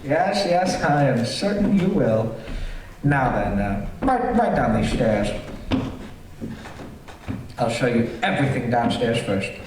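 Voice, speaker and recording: An actor playing a fussy, eager homeowner who is secretly a murderous villain, repeating himself in an old-time radio thriller on stage. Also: male voice, mid-range voice, sing-song, slow pace, pauses often. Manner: repetitive, fussy, chuckling, sinister. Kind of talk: stage performance of golden-age radio thriller. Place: theater stage, live performance. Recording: roomy theater, far from mic, faint noise.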